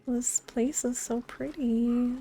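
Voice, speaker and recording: A woman's voice: on the high side, fairly even intonation, slow pace, dark tone, slight background noise, low-quality recording. A woman giving casual gameplay commentary as a streamer, musing aloud in short reactions. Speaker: game streamer.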